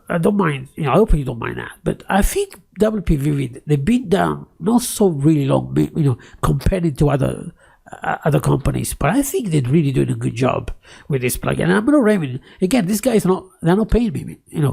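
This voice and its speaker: Man, non-native English speaker, very gravelly, husky, close-miked, rambling rant. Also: a little high, a little mellow. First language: not English. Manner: rambling, ranting, many fillers and restarts. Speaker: tech YouTuber. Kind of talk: casual screen-recording commentary.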